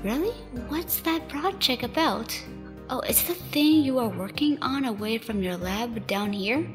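A woman's voice: a little high, lively intonation, measured pace, big-room sound, over music. A woman voicing a restless, plucky young female slime rancher in a fan radio play, casual and direct. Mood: curious.

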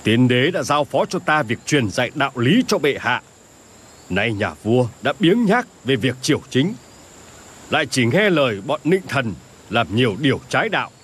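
Grave old royal tutor. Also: male voice, mid-range voice, sing-song, moderate pace, a bit bright, slight background noise. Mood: stern, reproachful. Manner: grave, reproachful, formal. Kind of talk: animated historical drama dialogue.

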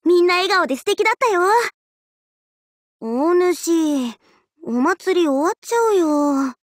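A woman voicing a cheerful, teasing young festival-loving swordswoman in an anime mobile game, with a bubbly, playful, drawn-out delivery. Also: extremely high pitch, expressive, dry recording, clean studio sound, heavily acted.

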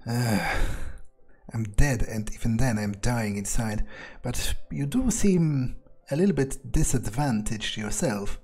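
A man thinks aloud as his game character, wry and casual, with frequent swearing and filler words. His voice is mellow and dark-toned, and soft game music runs beneath it. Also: medium pitch, animated, slow, husky. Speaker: gamer roleplaying his character.

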